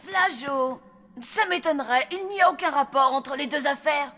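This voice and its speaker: A woman's voice: high-pitched, normal speed, sharp tone. A woman voicing a self-assured, bossy child detective who talks in formal, theatrical deductions in a children's cartoon. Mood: triumphant. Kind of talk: animated children's series dialogue.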